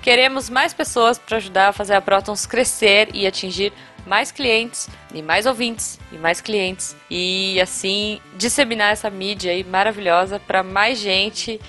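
A woman's voice: mid-range voice, a little slow, sharp tone, soft background music. A Brazilian woman co-hosting a podcast in an informal, chatty, colloquial way. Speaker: podcast co-host. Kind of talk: casual chat.

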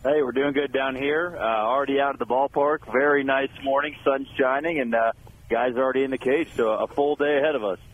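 A man calling in remotely from spring training, laying out baseball analysis in a relaxed, measured way. A faint noise floor hangs behind the line. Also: medium pitch, phone-quality sound. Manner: easygoing, analytical. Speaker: team beat reporter. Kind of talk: remote radio interview.

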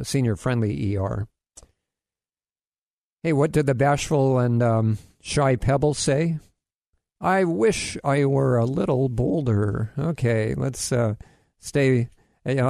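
Man from Hawaii, radio health host, mid-pitched, loose and rambling with fillers, dry close mic. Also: a little mellow, no echo. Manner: rambling, many fillers and restarts, corny jokes. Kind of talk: casual radio health talk.

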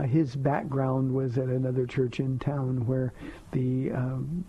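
A soft-spoken man hosting a live call-in radio show as a pastor, answering listener questions in a gentle, earnest and reflective way with slow emphatic phrases, heard over a dull lo-fi broadcast. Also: mid-range voice, a little hiss or hum. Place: radio studio.

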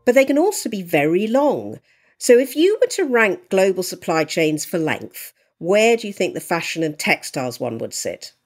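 A woman co-hosting an interview podcast, introducing the guest and asking questions in a measured, articulate way. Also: fairly low pitch, very animated, faint music behind. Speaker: podcast co-host.

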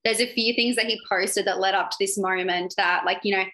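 Bright-voiced chatty young woman. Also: mid-range voice, fairly even intonation, a little fast. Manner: chatty, lots of "like" fillers. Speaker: guest, yacht crew member. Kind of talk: casual interview chat.

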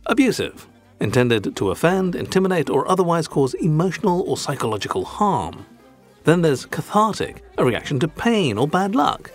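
A British man hosting a scripted comic language lesson, speaking in a prim, formal and wordy manner. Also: a little high. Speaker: podcast host.

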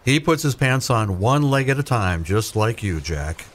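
A man's voice: lowish voice, big pitch swings, moderate pace, noisy background. An actor playing a hapless, henpecked older grandfather with a resigned, folksy manner in a radio play. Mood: patient. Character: hapless, glum grandfather, a henpecked amateur angler.

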